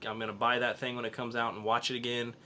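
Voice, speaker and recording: A man's voice: medium pitch, level tone, quick pace, sharp tone, a little hiss or hum. A man hosting a podcast, chatting casually about comics and films. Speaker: podcast host. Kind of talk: casual comics and film review chat.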